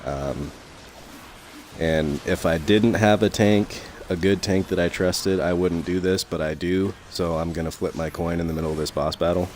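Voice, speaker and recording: A young man commentating his gameplay in a casual, rambling way full of fillers, his voice a little raspy from talking so long. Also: low voice, fairly even intonation, normal speed, a little mellow, a little hiss or hum. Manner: rambling, many fillers and restarts.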